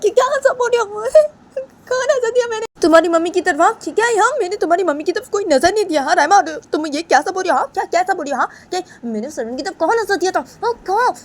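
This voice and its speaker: A woman's voice: extremely high pitch, animated, racing, hardly any pauses, mellow, pitched above the performer's own voice. A woman voicing a terrified young family member who wails and begs for help through tears. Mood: pleading. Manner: tearful, wailing pleas.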